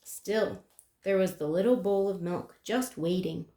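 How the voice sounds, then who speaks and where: A woman's voice: medium pitch, measured pace, dark tone. Woman reading a children's story, echoey room. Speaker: picture book reader. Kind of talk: children's story read-aloud. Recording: roomy, echoing sound.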